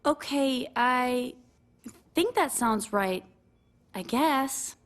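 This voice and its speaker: A woman's voice: sits high, lively intonation, extremely slow, heavily acted. A woman voicing a trusting, earnest female wrestling manager in a video game cutscene, sounding plaintive. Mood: dazed, trusting.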